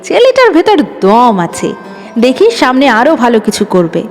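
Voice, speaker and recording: Woman playing kindly old dad, cheerful, chatty, very high pitch, long reverb, music. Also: wildly swooping pitch, unhurried, full character voice. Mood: cheerful, gentle. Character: kindly, cheerful elderly father, gently oblivious.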